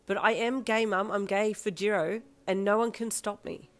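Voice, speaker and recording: A woman voicing a sulky teenage girl who talks in a flat, deadpan way. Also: lowish voice, normal speed, vocal fry. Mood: angry.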